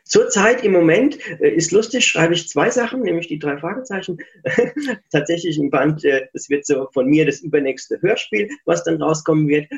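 A man's voice: fairly high pitch, normal speed, creaky. Chatty middle-aged man, dull lo-fi sound. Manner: chatty, reflective, self-deprecating. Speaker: author interview guest. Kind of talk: casual interview chat.

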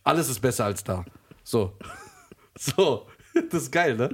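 A man's voice: medium pitch, lively intonation, measured pace, breathy edge. A young man co-hosting a podcast, telling jokey stories in casual banter and often laughing mid-sentence. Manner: joking storyteller, laughs through lines. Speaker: podcast co-host.

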